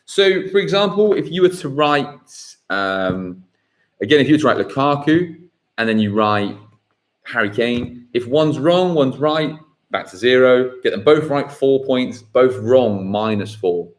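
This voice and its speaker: Guy hosting a football quiz, bouncy up-and-down voice, reads questions slow and says them twice. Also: medium pitch. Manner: lively, reads questions slowly and repeats them. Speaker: quiz host.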